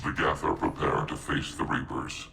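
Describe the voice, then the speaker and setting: A man playing a logical machine intelligence in a video game, speaking in a measured, formal, emotionless way. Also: very deep voice, gravelly, roomy sound, heavy background noise, full character voice. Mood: calm, resolute. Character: calm, logical male-voiced machine intelligence soldier. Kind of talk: video game dramatic acting. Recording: game dialogue over music.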